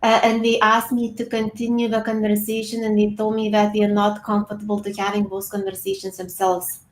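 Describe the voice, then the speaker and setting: A woman from Lithuania with a Lithuanian accent, speaking earnestly over a video call as an interview guest, with frequent pauses and fillers. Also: mid-range voice, completely flat delivery, reverberant, low-quality recording. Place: home room with bookshelves.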